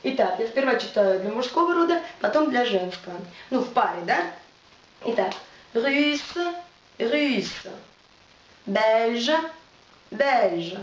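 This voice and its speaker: A woman with a fairly high voice gives a French lesson, patiently repeating each word slowly. She sounds set back from the mic in an echoey room. The sound is dull and carries a faint hiss. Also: lively intonation, distant mic. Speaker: French teacher. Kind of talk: video language lesson.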